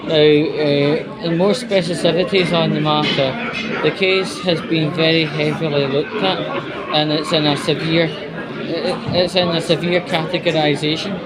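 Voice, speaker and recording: Higher-voiced man vlogging amid loud crowd noise. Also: steady tone, a little slow, poor audio. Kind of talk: casual personal update to viewers. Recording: busy indoor public space, crowd noise. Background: loud crowd chatter in a large indoor space.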